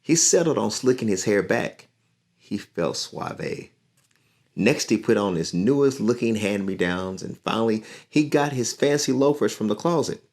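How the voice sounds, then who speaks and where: A deep-voiced man reads a picture book aloud for children. He speaks in an encouraging, unhurried way, guiding young listeners along. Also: lively intonation, a little mellow. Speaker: community leader and musician reading to children.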